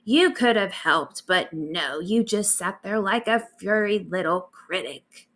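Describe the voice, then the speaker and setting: A woman's voice: mid-range voice, unhurried, a bit bright. A woman storyteller voicing an exasperated, wry lizard-sitter who scolds dramatically in a comic story. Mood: indignant.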